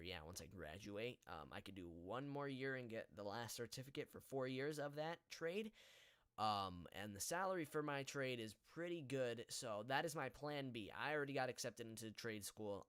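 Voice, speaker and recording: A teenage male high school student hosting a casual podcast, talking in an earnest, halting, rambling way. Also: expressive, a bit bright, smooth, no echo. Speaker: podcast host. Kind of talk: casual live podcast chat.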